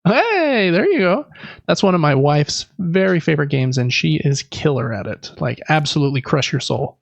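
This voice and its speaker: A man in his thirties chats as a podcast co-host in an easy, explanatory way. His voice sits a little high with a creaky edge. The sound is dry and somewhat muffled. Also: very animated, normal speed, no echo. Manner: conversational, explanatory. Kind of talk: casual chat about board games.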